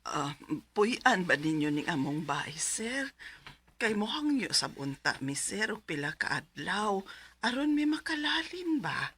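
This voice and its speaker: A woman's voice: mid-range voice, sing-song, unhurried, fairly bright tone, slight background noise. A woman acting a humble, worried middle-aged mother in a Cebuano Filipino radio drama. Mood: pleading.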